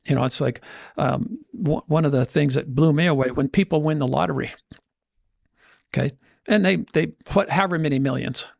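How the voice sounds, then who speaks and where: A man's voice: on the high side, moderate pace, gravelly, no echo, clean studio sound. A man speaking conversationally as a podcast host and financial coach, motivational in style, often voicing imagined inner dialogue to make his points. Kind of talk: conversational motivational money talk.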